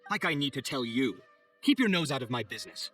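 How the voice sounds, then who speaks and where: Bright, indignant young architect. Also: male voice, mid-range voice, sing-song, fast, faint music behind. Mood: sulky, annoyed. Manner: indignant, theatrical, haughty. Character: proud, hot-tempered young male architect, easily exasperated. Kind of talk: video game voice acting.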